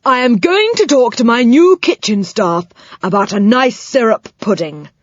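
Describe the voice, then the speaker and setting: A woman's voice: on the high side, animated, normal speed, no echo, dull audio, voice pushed up. A woman voicing a stern, bossy old schoolmistress who snaps and scolds in a storybook reading. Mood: commanding. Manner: snapping, scolding, commanding.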